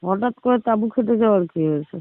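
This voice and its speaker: Tinny high-pitched, fast-talking man on phone. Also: lively intonation. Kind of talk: intimate casual phone chat. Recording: tinny phone-line audio, roomy echo.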